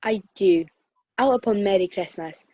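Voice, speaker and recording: Woman as old male miser, curmudgeonly, dark husky tone, scornful, radio play, slightly muffled recording. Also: mid-range voice, normal speed, no room sound, lightly acted. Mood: irritable, dismissive. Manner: curt, grumbling, dismissive.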